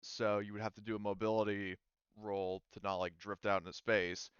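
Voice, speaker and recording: A man working as game master, casually explaining tabletop game rules in a halting, rambling way. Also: fairly low pitch, flat delivery, clear, resonant tone, dry recording, highs cut off.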